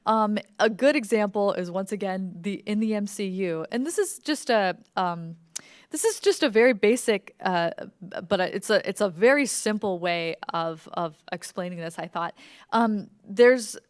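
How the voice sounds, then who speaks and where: A woman's voice: mid-range voice, normal speed, clean tone. A woman speaking as a podcast creator in an informal presentation, explaining things conversationally with examples and plenty of "like". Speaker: podcast creator giving a talk. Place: live talk before an audience at a library event.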